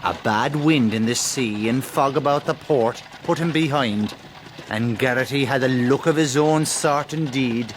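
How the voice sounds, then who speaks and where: Actor as male storyteller over clear noise. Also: mid-range voice, unhurried, natural voice, poor audio. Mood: storytelling. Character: storytelling narrator of a period tale. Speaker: narrator. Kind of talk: scripted storytelling narration for radio drama.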